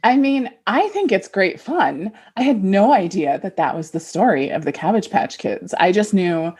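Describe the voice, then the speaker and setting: Husky, lively woman podcaster. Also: mid-range voice, normal speed. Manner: laughs readily while talking. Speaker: podcast co-host. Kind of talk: casual chat.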